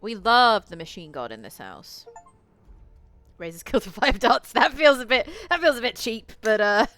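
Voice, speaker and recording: A woman's voice: a little high, big pitch swings, a little slow, fairly bright tone, no room sound. A woman game streamer reading a visual novel aloud and chatting to viewers, with casual swearing and playful asides. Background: eerie game music.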